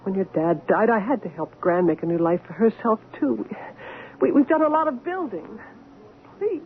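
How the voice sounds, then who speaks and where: A woman's voice: medium pitch, lively intonation, normal speed, close to an everyday voice. An actress playing an anguished but firm woman doctor confronting her returned husband, earnest and emotional, in an old-time radio drama. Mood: hurt pleading. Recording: narrow, noisy old radio recording.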